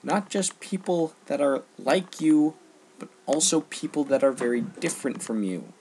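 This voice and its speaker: A young man giving an earnest, exasperated, unscripted rant, speaking emphatically with halting pauses in a husky, gravelly voice. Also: on the high side, animated, darkish tone. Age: teens to young adult. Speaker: online ranter.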